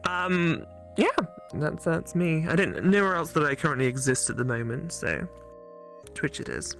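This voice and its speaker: A man's voice: on the high side, lively intonation, moderate pace, vocal fry, fairly bright tone, soft background music. A man guesting on a gaming stream, chatting casually with crude, mock-serious humour. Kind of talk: casual joking chat.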